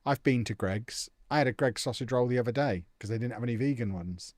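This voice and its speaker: A man's voice: mid-range voice, fast talker, a little mellow, no echo, clean studio sound. A young man chatting casually and jokily on a live stream, answering viewers' comments as he plays a football management game.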